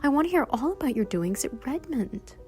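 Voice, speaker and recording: A woman's voice: high-pitched, very animated, fairly quick, a little mellow, faint music behind. Gushing, coquettish young woman. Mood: gleeful, confiding. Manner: gushing, triumphant. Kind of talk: dramatized audiobook character acting.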